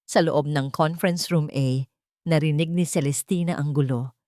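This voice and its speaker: A woman reads a scripted romance drama as its narrator. Her voice is a little low, and the delivery is dramatic storytelling. The recording is dry, with little room sound. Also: fairly even intonation, normal speed, a little mellow, no room sound.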